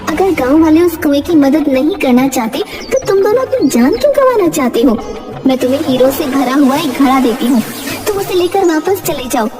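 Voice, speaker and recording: A woman's voice: high voice, lively intonation, a little fast, long reverb tail. A woman voicing a forest fairy in a children's cartoon, theatrical and emphatic, with music behind. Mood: stern, testing. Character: female forest fairy, stern tester turned kindly guide. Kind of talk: children's cartoon story dub. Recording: music under the voice.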